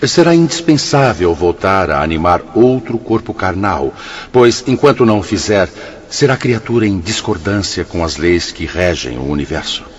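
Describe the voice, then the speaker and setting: An actor with a Brazilian accent playing a grave, wise spirit mentor in a radio drama, measured and oratorical. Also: male voice, deep voice, animated, muffled recording, a little hiss or hum.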